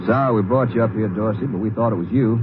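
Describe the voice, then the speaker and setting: Quick, low-voiced lawman sidekick played by an actor. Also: close to an everyday voice. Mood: businesslike. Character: practical Texas Ranger sidekick. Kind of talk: 1950s radio drama acting. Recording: noisy, narrow old radio recording.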